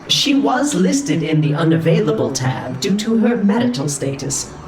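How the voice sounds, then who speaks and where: Prim, echoing android valet. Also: male voice, high-pitched, sing-song, normal speed, over loud music. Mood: dry, deferential. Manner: deferential, dry, formal. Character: prim, dutiful, subtly sarcastic android valet. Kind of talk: comic sci-fi audio drama acting.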